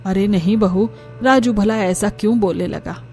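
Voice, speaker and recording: Lady doing a pushy middle-aged hindi cartoon saas, coaxing and brazen, mellow voice, music under it. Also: mid-range voice, normal speed, close to an everyday voice. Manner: coaxing, breezily dismissive. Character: scheming middle-aged mother-in-law, thrifty and brazen. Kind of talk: cartoon story character dialogue.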